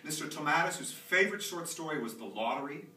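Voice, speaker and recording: A man's voice: on the high side, lively intonation, fast talker, pushed and bright. A man performing a theatrical monologue as an anxious, chatty, nostalgic young dad, rambling expressively in a live reading. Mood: fond, reminiscing. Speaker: author performing own monologue. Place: small theatre stage, recorded live from a distance. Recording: roomy, distant, noisy live theatre recording.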